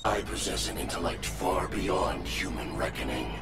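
Cold, very deep robot wolf. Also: male voice. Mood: cold, menacing. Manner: stiff, deliberate, menacing. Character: cold male robot wolf, arrogant intelligent killing machine. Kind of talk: video game voice acting. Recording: loud game music behind.

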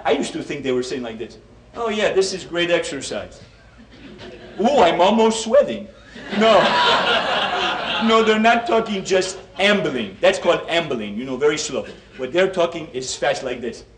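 A man's voice: medium pitch, a little hiss or hum. A middle-aged man with a Polish accent gives a comedic health talk to an audience, joking and calling them "my friends". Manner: jokey, addresses the audience as "my friends". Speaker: health educator. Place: meeting room with a seated audience. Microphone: stand mic at a distance. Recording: roomy hall, distant mic, music behind.

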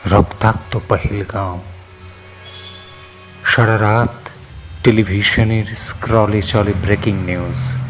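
A man reciting poetry in a solemn, sorrowful, dramatic way with lingering pauses. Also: fairly low pitch. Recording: soft music and rain sound underneath. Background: music and falling rain.

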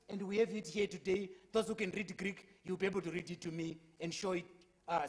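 A man's voice: high voice, a bit bright. A young man speaking as a preacher in a public debate, earnestly quoting scripture at length from a lectern microphone in a lecture hall. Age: young adult. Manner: earnest, quotes verses at length, repeats words when restarting. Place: lecture hall, at a lectern before a brick wall.

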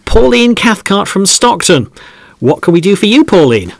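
A man's voice: high voice, very animated, normal speed, gravelly, dry recording, exaggerated voice. An actor playing a chatty, easily amazed male football podcast host in a scripted audio drama. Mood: upbeat, curious.

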